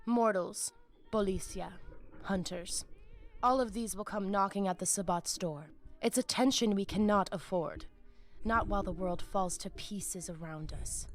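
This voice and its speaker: An actress voicing a cunning, imperious vampire plotter in a video game, solemn and grandiose. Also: female voice, mid-range voice, a little slow, faint music behind, close to an everyday voice. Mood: righteous. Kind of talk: dramatic video game voice acting.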